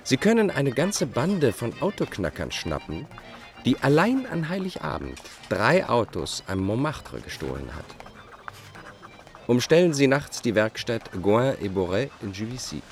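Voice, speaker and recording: A man's voice: medium pitch, sing-song, a little slow, faint music behind. An actor playing a bitter, self-justifying Dutch fugitive in a radio drama, setting out his letters in a formal, pedantic way. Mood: coolly formal, mocking. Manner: formal, pedantic, enumerating point by point. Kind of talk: radio drama monologue, letters read aloud.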